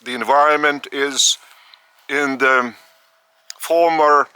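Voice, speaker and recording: A man from Lithuania, giving a spiritual talk outdoors in the park of an old estate, speaking slowly in short, halting phrases. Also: mid-range voice, fairly even intonation, sharp tone, clear, resonant tone, no echo. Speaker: spiritual teacher.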